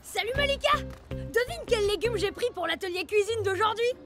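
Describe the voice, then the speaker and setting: A woman voicing an excitable, bold, cocky young boy in a French cartoon. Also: very high voice, normal speed. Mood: excited. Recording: music clearly behind the voice.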